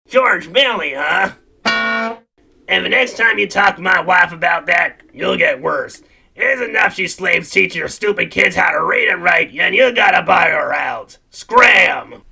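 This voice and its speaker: A man's voice: high-pitched, animated, measured pace, vocal fry, pushed and bright, husky, soft background music, full character voice. A man playing a cantankerous, blustering townsman who scolds indignantly in a comic radio play. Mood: angry.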